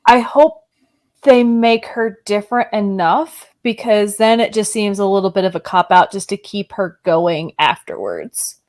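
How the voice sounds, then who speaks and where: A woman guest on a casual podcast, candid with her opinions and quick to laugh. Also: medium pitch, expressive, a little mellow.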